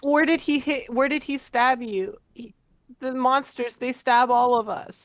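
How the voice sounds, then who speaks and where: A woman playing a tabletop roleplaying game, improvising in character in a halting, earnest way. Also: high voice, steady tone, no room sound.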